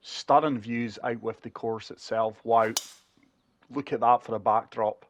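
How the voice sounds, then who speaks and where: A man's voice: mid-range voice, steady tone, unhurried, dull audio, a little hiss or hum. A man chatting through a golf video game, struggling for breath with a chest infection. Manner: chatty, talks viewers through each shot. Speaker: gaming channel host. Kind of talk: casual golf game commentary.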